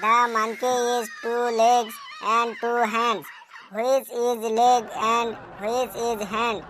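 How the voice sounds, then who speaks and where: A woman voicing a cheeky schoolchild in a comic cartoon, answering in a deadpan, literal-minded, politely impudent way. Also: a little high, very slow, a little hiss or hum. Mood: earnest, halting. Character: cheeky schoolchild, earnest-sounding classroom smart aleck. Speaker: cartoon voice actor.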